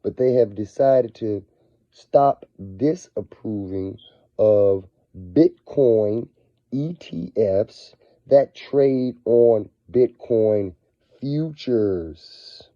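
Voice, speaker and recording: Raspy man with a cold, talking slowly. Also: dry recording. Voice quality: scratchy, raspy. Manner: slow, drawn-out delivery that swings between low murmurs and excited bursts. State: hoarse from a cold. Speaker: finance vlogger. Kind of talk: casual video monologue. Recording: dull, lo-fi sound.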